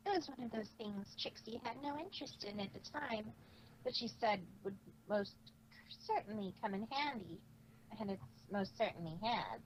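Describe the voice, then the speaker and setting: A woman's voice: mid-range voice, lively intonation, moderate pace, natural voice, low-quality recording. A woman voicing a proud, guarded, self-important female unicorn in a cartoon fan story reading. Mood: proud, reserved. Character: proud, guarded female unicorn showwoman speaking in third person. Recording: faint background noise.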